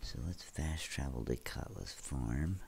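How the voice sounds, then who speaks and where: A man's voice: rumbling low, expressive, unhurried, vocal fry, darkish tone, a little hiss or hum. A young man hosting a let's play, chatting casually with viewers while sounding stuffy and congested. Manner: chatty, addresses viewers directly. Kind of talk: casual gameplay commentary.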